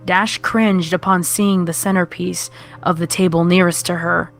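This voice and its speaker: A woman's voice: lowish voice, fairly even intonation, normal speed, vocal fry, soft background music. A woman reading scripted horror fiction as the narrator.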